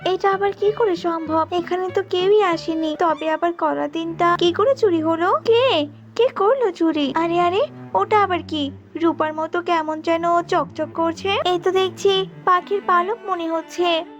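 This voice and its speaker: Woman as a high-voiced, brave young princess. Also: measured pace, muffled recording, soft background music. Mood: puzzled. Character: brave young princess, confident, determined, devoted to her father. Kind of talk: children's cartoon fairy-tale acting.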